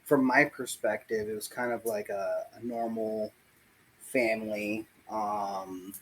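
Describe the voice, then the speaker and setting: A man in his forties, born in Puerto Rico and raised in the US South, recalls his life in a casual video-call interview, pausing often and thinking aloud. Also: on the low side, steady tone, a little hiss or hum, poor audio. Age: 40s. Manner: slow, reflective, pauses often with "um" and "like". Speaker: interview guest. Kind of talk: casual oral history interview.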